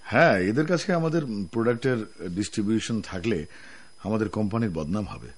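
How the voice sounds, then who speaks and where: An actor playing an earnest, courteous office manager in a radio drama, with a husky voice. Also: male voice, on the low side, animated, a little fast. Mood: polite, firm. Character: earnest, polite, principled male office manager.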